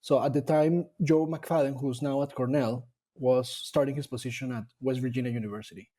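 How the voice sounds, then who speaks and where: A man from Colombia, a dairy nutrition scientist talking about his career in a casual interview, pausing often to rethink his words. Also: medium pitch, fairly even intonation, dark tone. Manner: thoughtful, with frequent fillers and restarts.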